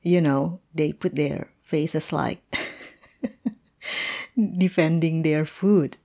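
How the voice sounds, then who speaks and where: A woman playing a playful, chatty teacher telling a colleague a story in an animated, amused, conversational audio roleplay. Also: low-pitched, extremely slow, exaggerated voice. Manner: animated, amused, punctuated with chuckles.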